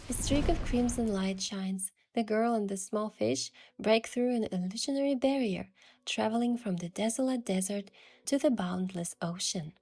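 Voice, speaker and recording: A young woman gently narrating a romantic story in the first person as its heroine, in a soft, hushed voice. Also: mid-range voice, moderate pace.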